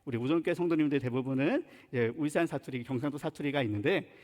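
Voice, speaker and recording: A man's voice: medium pitch, quick pace, darkish tone. A male pastor from Chungcheong with a Chungcheong Korean accent, preaching a sermon in an earnest, emphatic manner. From Chungcheong, South Korea. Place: church sanctuary. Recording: long reverb in church sanctuary.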